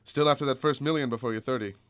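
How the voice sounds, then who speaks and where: A man's voice: mid-range voice, rapid-fire, fairly bright tone, clear, resonant tone, no room sound, clean studio sound, close to an everyday voice. An actor playing a kindly, weary, principled small-town father in a radio drama. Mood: gentle. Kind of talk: old-time radio drama acting.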